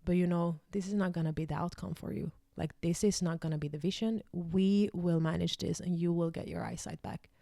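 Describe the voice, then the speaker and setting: A woman's voice: on the low side, steady tone, brisk, creaky, few pauses, warm tone, no room sound, clean studio sound. A young woman from Finland whose first language is Swedish, telling a personal story in a rambling way, full of fillers and restarts. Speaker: vlogger.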